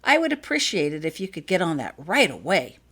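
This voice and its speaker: A woman voicing a courteous, quietly commanding wizard father in an audiobook story, speaking smoothly and persuasively. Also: fairly low pitch, big pitch swings, fairly quick, exaggerated voice. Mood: smooth, businesslike. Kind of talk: acted fanfiction audiobook dialogue.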